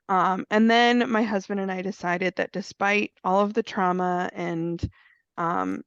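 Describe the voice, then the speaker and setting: A woman's voice: fairly low pitch, dry recording. A woman in her thirties who has a cold, earnestly telling her personal story on a video call with many fillers. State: has a cold, clears throat. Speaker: guest speaker sharing lived experience. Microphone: computer mic on a video call. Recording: dull, dry video call audio.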